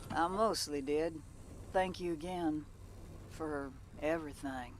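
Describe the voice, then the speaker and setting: A woman's voice: slow, slight background noise. An actress playing a guarded, war-weary woman mech pilot in a video game, speaking in a terse, dry way. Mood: friendly, wry. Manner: terse, low-key, dry. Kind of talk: video game cutscene acting.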